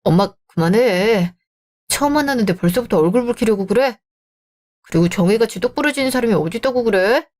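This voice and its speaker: A woman's voice: fairly high pitch, lively intonation, fairly quick, a little mellow, lightly acted. A woman voicing a young man caught between his mother and his fiancée in a radio drama, placating and wheedling. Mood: scolding.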